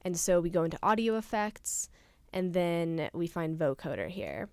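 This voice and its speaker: Young woman doing a casual software tutorial, smooth clear voice, super dry sound. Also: on the low side, sing-song, moderate pace, no echo. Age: young adult. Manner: casual, step-by-step explaining. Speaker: tutorial presenter, studio intern.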